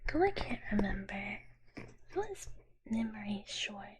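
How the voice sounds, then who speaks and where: A woman voicing a sweet, ditzy dragon big sister for live ASMR, whispering softly and breathily up close in cutesy valley-girl talk. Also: medium pitch, sing-song, measured pace, background noise. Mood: soft, chatty. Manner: cutesy valley-girl filler-laden talk in third person. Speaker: streamer. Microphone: close ASMR microphone.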